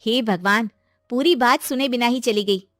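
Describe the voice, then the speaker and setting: A woman voicing a chatty, sensible young college friend in an animated story. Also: fairly high pitch, normal speed, no room sound, studio quality, faint music behind, natural voice. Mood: exasperated.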